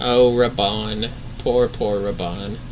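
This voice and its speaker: A man's voice: fairly low pitch, fairly even intonation, slow pace, slight background noise. A man giving casual, sweary and jokey livestream commentary on a game, often exclaiming. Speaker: livestream host.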